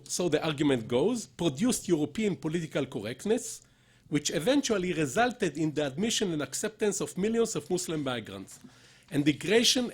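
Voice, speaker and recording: A man with a husky voice gives an academic conference lecture, deliberate and emphatic, with rhetorical questions and asides. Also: on the high side, a little mellow. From Israel. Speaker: professor, conference speaker. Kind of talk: academic conference lecture, partly read from notes.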